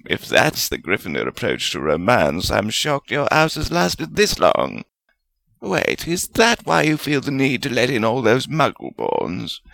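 A man voicing a fierce, excitable, jealous male wizard spirit with a snappish, theatrical manner in a storybook reading. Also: mid-range voice, a little slow, vocal fry, dry recording, voice pushed up, full character voice. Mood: grumbling, needling.

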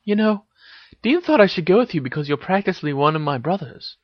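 A man's voice: sits high, expressive, fairly quick, dry recording, highs cut off, pitched above the performer's own voice. A man voicing a fiery, proud teenage witch in an audiobook-style story, with emphatic delivery. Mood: shy, uncertain. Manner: scolding, emphatic when angered. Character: fiery, indignant teenage witch, girl with stubborn pride.

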